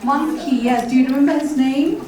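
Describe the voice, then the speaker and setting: High-voiced woman in a noisy, echoing hall. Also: a little fast, crowd noise. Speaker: co-presenter. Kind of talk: prompting a live audience with questions. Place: large echoey indoor museum space. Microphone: distant camera or room microphone. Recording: distant mic, echoey hall, very noisy room. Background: loud room ambience.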